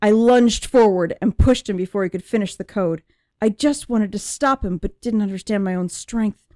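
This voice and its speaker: Woman as a mellow-voiced, anguished young android. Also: mid-range voice, no room sound, close to an everyday voice. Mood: distressed. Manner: emotive, deliberate. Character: young female android with human memories, anguished, searching for herself. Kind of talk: dramatic first-person audiobook narration.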